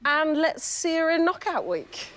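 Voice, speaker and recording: A woman's voice: sits high, very animated, a little slow. Chatty female cooking contestant. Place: TV studio kitchen. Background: background music.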